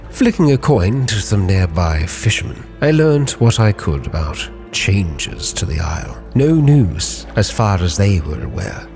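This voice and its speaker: Over clear background music, a man with a low voice narrates as a sea captain. His delivery is measured and theatrical, like a literary log being read aloud as dramatic storytelling. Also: a little mellow. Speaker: roleplay storyteller, channel host.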